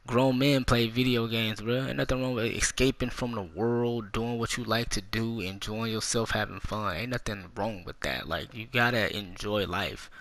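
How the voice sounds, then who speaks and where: A man in his twenties talks straight to young viewers in a loose, profane rant. His voice sits fairly low but comes through forceful and bright. The recording is dry and close. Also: steady tone, normal speed, hardly any pauses, dry recording. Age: 20s. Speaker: gaming YouTuber. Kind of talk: casual storytime rant.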